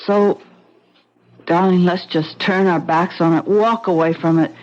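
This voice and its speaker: An actress playing a frightened wife in an old radio drama, anxious and pleading. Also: female voice, lowish voice, fairly even intonation, measured pace, a little mellow, natural voice. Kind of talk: 1970s radio drama acting. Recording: dull, faintly hissy old radio broadcast.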